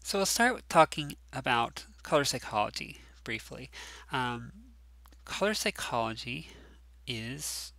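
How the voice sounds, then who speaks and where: A man's voice: medium pitch, animated, measured pace, sharp tone, dry recording. A man teaching a recorded class lecture, sounding nasal and stuffed up from allergies, talking casually with lots of ums and restarts. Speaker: course instructor.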